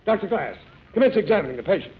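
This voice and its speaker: An actor playing a blustering, self-important hotel manager in a 1940s radio comedy, bossy and pompous. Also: male voice, high-pitched, big pitch swings, racing. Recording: dull 1940s radio broadcast.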